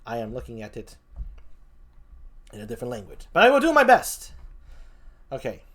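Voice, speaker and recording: Bright-voiced, chatty streamer. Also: medium pitch, huge pitch swings, moderate pace. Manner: chatty, talks to chat while setting up; casual, swears when flustered. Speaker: VTuber streamer. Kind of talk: casual live-stream chat.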